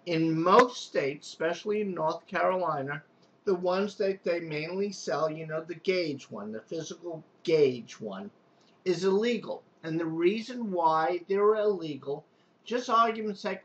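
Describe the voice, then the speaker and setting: A man's voice: high-pitched, a bit bright, highs cut off, slight background noise. A man with a strong Long Island accent, a pool and spa technician giving an unscripted, rambling how-to demonstration with many restarts and fillers. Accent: Long Island New York.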